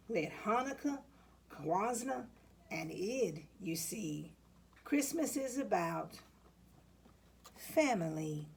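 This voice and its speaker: Woman reading as a kind sentimental old lady in a kids book, gentle, slow, creaky voice. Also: mid-range voice, a little hiss or hum. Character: kindly, sentimental older woman who lives alone. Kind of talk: children's storybook read-aloud.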